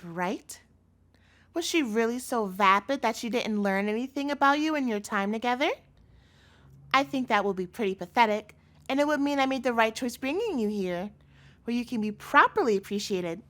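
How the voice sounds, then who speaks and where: A woman's voice: a little high, animated, moderate pace, a bit bright. A woman playing an obsessive, doting captor girlfriend in a scripted audio roleplay, speaking directly to the listener in a cutesy tone with menace in it. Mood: scornful, possessive.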